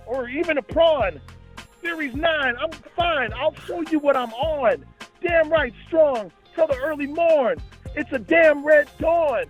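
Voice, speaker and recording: High-voiced man, rapper on a talk show. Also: dull audio. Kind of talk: freestyle battle rap and casual promotional chat.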